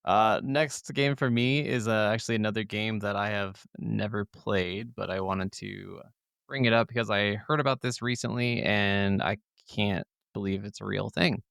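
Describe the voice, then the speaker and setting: A man's voice: fairly low pitch, fairly even intonation, moderate pace, creaky, a bit bright, clean tone, dry recording, clean studio sound. A man co-hosting a gaming podcast in a casual, informal, agreeable way. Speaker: podcast co-host.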